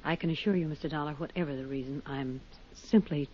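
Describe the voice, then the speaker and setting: A woman's voice: deep voice, fast talker, close to an everyday voice. A woman playing a guarded, weary school principal in a 1950s radio drama, speaking formally, politely and curtly. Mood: tired, evasive. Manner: polite, formal, curt and evasive. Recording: muffled, noisy 1950s radio recording.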